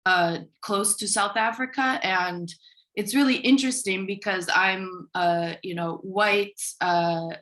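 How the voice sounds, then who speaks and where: A woman's voice: mid-range voice, steady tone, slow, a bit bright. An American woman making a comment as a forum participant over a video call. She speaks casually, hedging with frequent fillers. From United States. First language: English.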